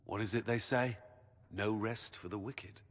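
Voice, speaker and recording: An actor playing a steady, duty-bound male army commander in video game dialogue, brisk and practical. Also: fairly low pitch, in a large hall. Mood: firm.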